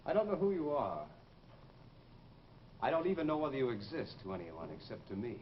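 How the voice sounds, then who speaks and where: An actor playing a haunted, guilt-ridden gentleman losing his mind, intense and theatrical in old radio horror style. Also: mid-range voice, sing-song, brisk, a little hiss or hum, lightly acted. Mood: cold, threatening. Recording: muffled old radio recording, loud music behind.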